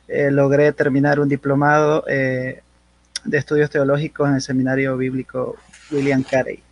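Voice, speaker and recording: A man who serves in a church introduces himself on a video call. His voice sits a little high for a man, and he stops often on fillers while he searches for words. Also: a bit bright. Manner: halting, many fillers. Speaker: guest panelist, church worker. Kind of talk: self-introduction on an online panel.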